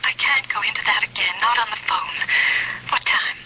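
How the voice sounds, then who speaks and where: A woman's voice: mid-range voice, normal speed. An actress playing an apologetic, urgent woman pleading through a telephone filter in an old-time radio drama. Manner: urgent, imploring. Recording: very noisy, muffled old radio broadcast.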